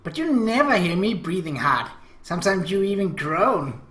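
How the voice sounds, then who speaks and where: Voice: mid-range voice, very animated, moderate pace, a bit bright, voice pushed up. A game commentator voicing a cheeky, teasing, provocative little sister from an anime visual novel. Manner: talks about herself in third person, provocative. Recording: echoey room.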